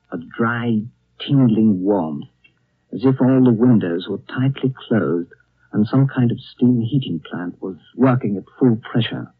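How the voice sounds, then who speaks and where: Thoughtful, grave storyteller, low-pitched, atmospheric pauses, dry old-time radio drama sound. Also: male voice, husky, no room sound. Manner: deliberate, atmospheric, pausing phrases. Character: grave, thoughtful male narrator retelling a friend's tale. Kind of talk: 1930s radio drama narration. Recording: dry, narrow-band old radio broadcast.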